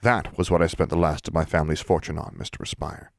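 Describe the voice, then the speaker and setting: A man's voice: a bass voice, fairly even intonation, fast talker, gravelly, dry recording, clean studio sound. A man voicing a composed young witch benefactor who speaks in a cool, firm, dryly sarcastic way.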